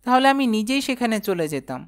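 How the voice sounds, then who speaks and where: A woman plays a lovesick young man in an animated romantic drama. The voice is clean and smooth, fairly high. The delivery is earnest and confessional. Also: fast talker. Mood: sad, earnest.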